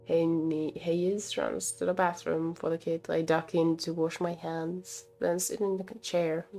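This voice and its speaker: A woman's voice: on the low side, little pitch movement, a little slow, vocal fry, dark tone. A woman game streamer reading a visual novel aloud expressively and breaking off to comment, with game music behind her.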